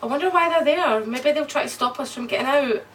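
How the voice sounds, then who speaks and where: An actress playing a frightened, pleading wife trapped in a nightmare, in an old radio drama. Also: female voice, sits high, brisk, a bit bright. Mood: uneasy. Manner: urgent, pleading, emotional. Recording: distant, noisy old radio recording.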